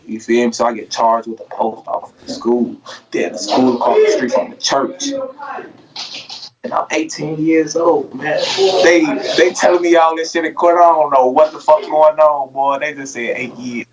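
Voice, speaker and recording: A man's voice: fairly high pitch, normal speed, highs cut off, crowd noise. A man who is a podcast guest, telling a long casual story in a rambling, profane way and asking "you feel me" again and again.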